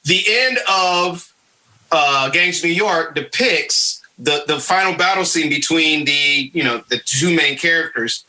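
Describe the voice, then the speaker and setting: A man with an American accent and a very bright, punchy voice pitched on the high side. He talks history casually, leaning hard on his points as he explains them to co-hosts and listeners. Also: lively intonation, unhurried, rough recording. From Michigan, United States. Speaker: podcast host. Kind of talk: casual history podcast conversation.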